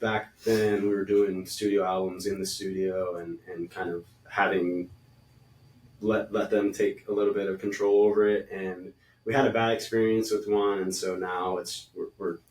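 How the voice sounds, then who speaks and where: Band singer guy, kinda low voice, rambly, a bit off mic in a roomy space. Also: little pitch movement, moderate pace, darkish tone. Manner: rambling, restarts phrases. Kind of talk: casual podcast chat. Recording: roomy echo, distant mic.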